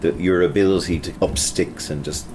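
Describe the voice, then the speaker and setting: A man's voice: sits low, steady tone, brisk, noisy background. A male podcast host in casual chat, interviewing a guest with chatty questions and frequent affirming replies. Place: noisy hotel.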